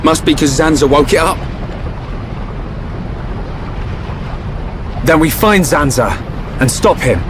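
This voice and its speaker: Casting: a man to act a determined young fighter for a game, direct and defiant, raised pitch, noisy backdrop. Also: animated, normal speed, natural voice. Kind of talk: video game cutscene acting.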